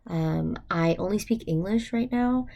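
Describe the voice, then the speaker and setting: A woman's voice: medium pitch, a little slow, gravelly, mellow. A young adult woman with an American accent, talking informally and reflectively as a student, thinking aloud with frequent hesitations and "like" fillers. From United States. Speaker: university student. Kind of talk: informal reflective monologue for a class.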